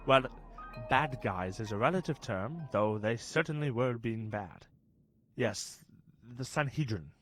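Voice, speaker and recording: An actor playing a kindly, gently wry young saint who guides and explains, a touch pedantic, in a children's audio drama. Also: male voice, mid-range voice, lively intonation, measured pace, soft background music, poor audio.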